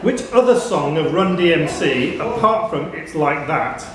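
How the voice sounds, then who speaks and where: A high-pitched man hosts a live pub quiz, reading out questions. His voice rings around a very echoey room, with a crowd audible behind him. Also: animated, a little slow. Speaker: quiz host and question reader. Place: indoor pub quiz venue.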